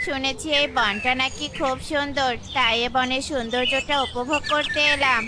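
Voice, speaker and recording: A woman's voice: sits high, fairly even intonation, moderate pace, heavy background noise, voice pushed down, close to an everyday voice. A woman voicing a polite, curious male bird visiting from the city in a children's cartoon.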